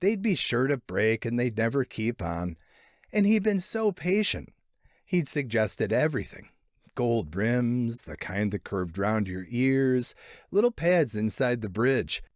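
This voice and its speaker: A man narrating a literary short story expressively, lingering in the pauses between phrases. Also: mid-range voice, sing-song, no room sound, clean studio sound.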